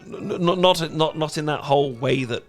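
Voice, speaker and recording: A man's voice: a little high, moderate pace, faint music behind, lightly acted. A British man playing a cute, awkward young dork in a romantic roleplay, stammering and rambling as he talks. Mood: anxious babbling. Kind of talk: romantic ASMR roleplay acting.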